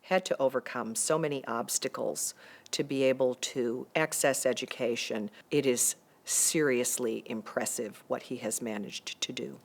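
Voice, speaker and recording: Very low-voiced admiring woman. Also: steady tone, a little slow. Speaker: university staff introducing a student. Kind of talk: documentary interview and event introduction.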